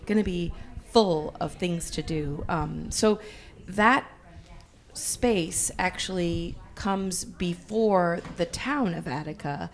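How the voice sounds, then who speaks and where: A woman co-hosting a cycling podcast in casual chat, her voice a little hoarse, laughing often. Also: lowish voice, slow pace. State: slightly hoarse. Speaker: podcast co-host.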